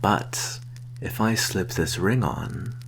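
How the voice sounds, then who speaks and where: Slow, low-voiced sly vampire merchant, played by a man. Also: vocal fry, a little hiss or hum, full character voice. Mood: secretive, proud. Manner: soft-spoken, coaxing, deliberate with sighs and soft chuckles. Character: sly male vampire posing as traveling merchant. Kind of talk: ASMR roleplay.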